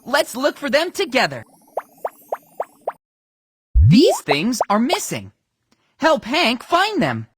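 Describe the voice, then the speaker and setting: A woman's voice: high-pitched, huge pitch swings, slow pace, pauses often, slightly rough, dry recording, full character voice. A woman voicing a brave, eager, helpful police dog sheriff in a children's cartoon game, sounding confident and reassuring. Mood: encouraging.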